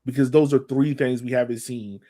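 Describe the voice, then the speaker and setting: A man's voice: mid-range voice, steady tone, a little fast, warm tone. Man giving emphatic, colloquial soccer podcast analysis. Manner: emphatic, repeats for effect, colloquial. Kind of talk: casual sports analysis.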